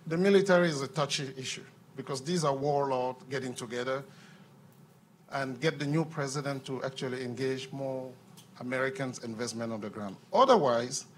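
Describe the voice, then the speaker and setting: A man's voice: mid-range voice, normal speed, a little hiss or hum. A man from Cameroon, making an earnest comment from the floor of a panel Q&A on a handheld microphone, often repeating words as he restarts. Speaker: audience member at a panel Q&A. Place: conference room.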